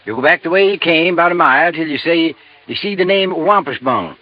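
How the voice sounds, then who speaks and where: An actor playing a gossipy, folksy small-town postmaster with a drawling delivery in a vintage radio drama. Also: male voice, fairly high pitch, animated, a little fast, close to an everyday voice. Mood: helpful, unhurried. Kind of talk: 1950s radio drama acting. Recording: dull vintage radio recording.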